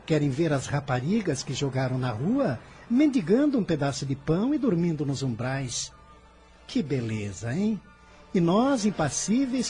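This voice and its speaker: A man's voice: a little high, warm tone. An actor playing an impassioned, defiant fifteen-year-old boy who defends the poor, declaiming fervently in a radio drama. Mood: indignant, sarcastic.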